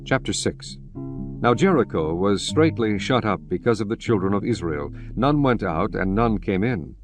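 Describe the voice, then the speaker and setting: A man narrating scripture in measured, steady King James English. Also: on the low side. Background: guitar music.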